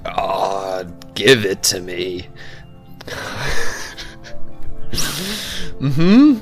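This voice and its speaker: A woman playing a fussy, easily flattered adventurer in a tabletop game, with drawn-out, swooning politeness. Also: rumbling low, faint music behind, voice pushed down, heavily acted. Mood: coy.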